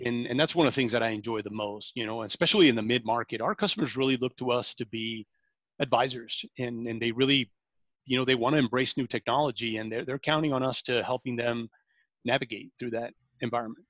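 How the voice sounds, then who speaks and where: A man in his forties from Venezuela speaks as a podcast guest, pausing often on ums and restarting his sentences. The sound is dull and a bit lo-fi, with a dry, close feel. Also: medium pitch, a bit bright, no echo. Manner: halting, many ums and restarts. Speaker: sales director, podcast guest. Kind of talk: interview conversation.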